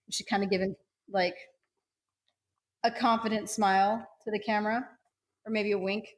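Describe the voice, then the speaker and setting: Casual female tabletop player, medium pitch. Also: flat delivery, normal speed. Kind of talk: casual, improvised character description.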